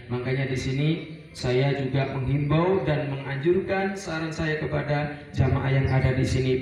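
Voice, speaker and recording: A man from Surabaya preaching a relaxed Eid sermon to a housing community, rambling at times and mixing in Arabic prayer phrases. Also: mid-range voice. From Surabaya, Indonesia. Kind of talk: Eid sermon to a residential congregation. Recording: very echoey, heard from a distance over a PA.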